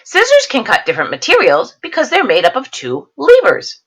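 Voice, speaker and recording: Lady teacher reading a lesson out loud, super up and down intonation, explains stuff and checks in with the kids. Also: sits high, huge pitch swings, a little fast. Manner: explanatory, checks in with listeners using questions. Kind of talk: read-aloud science lesson with asides to students.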